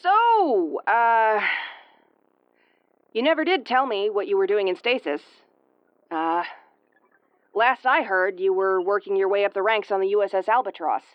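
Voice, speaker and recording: A woman's voice: mid-range voice, expressive, a little slow, pauses often, clear, resonant tone, no room sound, muffled recording, natural voice. Woman acting a brash, tomboyish tsundere starship commander. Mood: flustered. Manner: brusque, sweary, easily flustered. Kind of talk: audio roleplay acting, spoken to the listener.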